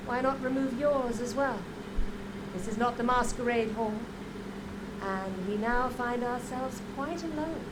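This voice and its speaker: Cold, commanding queen, higher-pitched. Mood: cool, probing. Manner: formal, measured, condescending. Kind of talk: video game cutscene acting. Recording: heavy steady noise behind.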